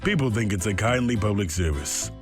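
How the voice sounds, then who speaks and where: A man voicing a suave older opossum villain businessman in a comic dub, speaking politely with smug, measured menace. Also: fairly low pitch, lively intonation, over music, natural voice. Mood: smug, scheming.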